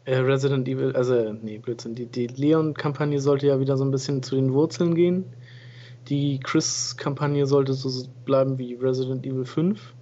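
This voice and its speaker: Flat-voiced German man in casual chat. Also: mid-range voice, moderate pace. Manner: flat, easygoing, self-correcting. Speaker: gaming podcast co-host. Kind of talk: casual game review chat. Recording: dull lo-fi home recording.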